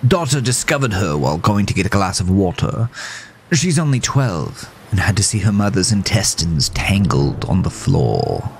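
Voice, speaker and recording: A man's voice: deep voice, very animated, moderate pace, creaky, a little hiss or hum, exaggerated voice. A man voicing a dapper, sinister talking horse in a horror audio story, theatrical, formal and effusively genteel. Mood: darkly gossiping.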